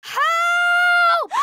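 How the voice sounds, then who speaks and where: A frightened little boy in a cartoon pleads for help, his words tumbling out in a breathless rush. The voice is very high and bright, recorded dry and close. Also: no echo, heavily acted. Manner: breathless, panicked and halting.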